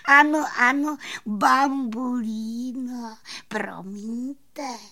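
Woman as a sweet, high-voiced little fairy. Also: bright, forceful tone. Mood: sighing admiration. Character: little female fairy, sweet, admiring, proud of her friends.

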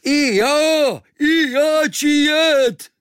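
A high babbling islander harpooner. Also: male voice, a bit bright, dry recording, clean studio sound. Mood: chattering. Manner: babbling made-up words. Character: strange exotic islander man, playful harpooner.

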